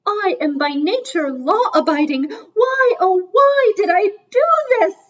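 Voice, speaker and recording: A woman's voice: sits high, normal speed, a bit bright, distant mic, muffled recording, voice pushed up. A woman voicing a proud old swan father. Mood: anguished. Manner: theatrical, self-reproaching.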